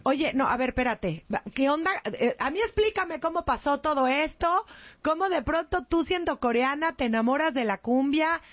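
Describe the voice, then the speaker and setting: A woman interviewer with a Mexican accent and a very high-pitched voice. She chats casually and effusively, in colloquial phrases full of exclamation. The sound is a little muffled. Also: animated, normal speed. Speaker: interview host. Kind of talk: casual celebrity-style interview chat.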